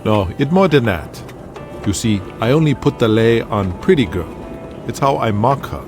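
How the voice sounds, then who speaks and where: A man's voice: medium pitch, animated, normal speed, over music, natural voice. A man voicing a cocky, easygoing Hawaiian star wrestler with a Hawaiian accent, breezy and boastful, in pidgin grammar. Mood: smug.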